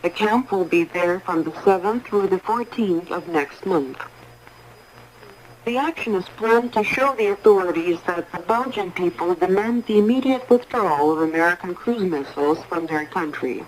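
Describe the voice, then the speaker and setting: A woman reading a formal scripted radio news bulletin in a measured, impersonal way, on a 1980s shortwave broadcast. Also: lowish voice, expressive, a little hiss or hum, poor audio. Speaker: newsreader.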